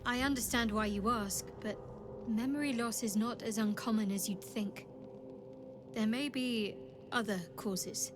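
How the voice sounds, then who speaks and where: Guarded, higher-voiced adventurer woman, acted over music. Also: measured pace, natural voice. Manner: reserved, clipped, dryly wry. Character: guarded, secretive young adventurer woman, coolly wry. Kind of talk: fantasy video game acting. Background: game music.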